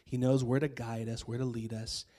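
A man in his thirties with an American accent preaching a sermon on a church stage into a handheld mic, his voice hoarse from a cold, casual and conversational with many fillers. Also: medium pitch, little pitch movement, moderate pace, dark tone. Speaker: pastor. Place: church stage with string lights.

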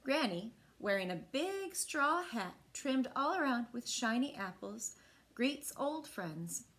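A woman in her thirties with an American accent, reading a picture book aloud to children with expressive, clearly phrased delivery. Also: a little high, slow. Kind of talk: children's read-aloud storytelling. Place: small indoor room.

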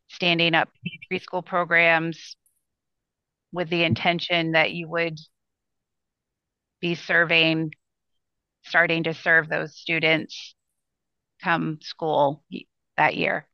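A woman in her sixties, a state education official, answering questions on a video call with measured, reassuring replies. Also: sits low, no room sound. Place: small room indoors. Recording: dull, lo-fi video call audio.

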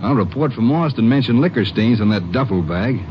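A man's voice: mid-range voice, lively intonation, a little fast, close to an everyday voice. An actor playing an eager, easygoing junior lawman in an old radio drama. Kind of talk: 1950s radio drama acting. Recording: muffled, noisy old radio recording.

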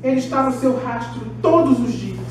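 A woman's voice: high-pitched, lively intonation, moderate pace, noisy background. A middle-aged woman pastor preaching an impassioned sermon in a church room, recorded by a far camera. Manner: impassioned preaching with raised finger gestures. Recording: very echoey room, voice from afar.

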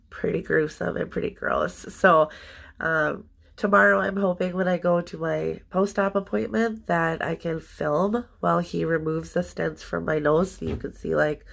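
A woman in her thirties gives a casual video update with a blocked, stuffy nose. Her voice sits fairly low and often drops into gravelly fry. She rambles in an easy way, with plenty of ums and likes, close to a phone in a small room. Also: fairly bright tone. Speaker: vlogger. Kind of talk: casual personal update to camera.

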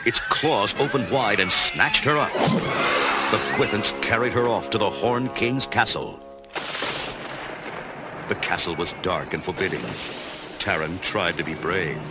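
A man's voice: fairly low pitch, animated, a little slow, pauses often, over loud music. A man reading dramatic scripted narration for a children's storybook.

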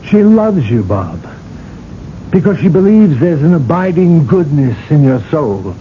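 An earnest young priest in a radio play. He pleads gravely and slowly, with an expressive, fairly high voice, on a dull old recording. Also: a little hiss or hum. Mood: grave, imploring. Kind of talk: 1980s radio drama acting.

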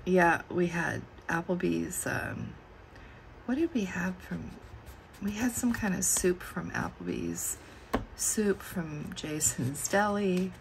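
A middle-aged woman losing her voice, chatting slowly and musing aloud as she flips through her planner at a desk. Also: lowish voice, sing-song, vocal fry, noisy background. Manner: slow and musing, thinking aloud. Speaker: planner channel host. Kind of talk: casual plan-with-me chat. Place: indoors at a desk.

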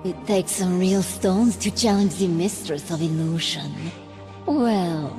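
Low, cocky sorceress. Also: female voice, takes it slowly, dark tone, faint music behind. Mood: taunting. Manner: self-assured, teasing. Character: cocky, taunting female illusionist sorceress. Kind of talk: video game character acting.

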